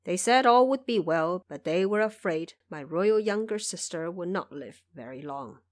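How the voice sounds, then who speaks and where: A woman voicing a grave, composed male emperor, measured and regal, in an audio drama. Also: lowish voice, expressive, dry recording, clean studio sound, natural voice. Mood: resigned, grave.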